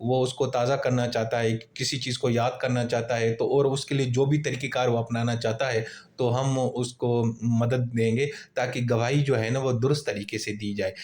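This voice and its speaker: A man's voice: mid-range voice, flat delivery, moderate pace, a little mellow. A man with a Pakistani accent lecturing on law in an informal, conversational way, explaining through everyday examples.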